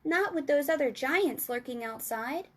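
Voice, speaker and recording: A polite, nervous little girl from a storybook, as a woman reading aloud puts her on, speaking high and a touch breathless with worry. Her words come out careful and well-mannered. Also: expressive, unhurried. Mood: anxious. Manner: polite, anxious, higher voice.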